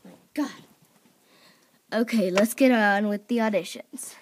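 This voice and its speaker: A woman's voice: medium pitch, measured pace, slight background noise. A woman casually introducing characters off-camera, rambling with lots of "like" and "um" and correcting herself as she goes. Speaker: channel host presenting toy characters for voice auditions. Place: indoors at home, toys on a table. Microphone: phone or camera mic, speaker off-camera.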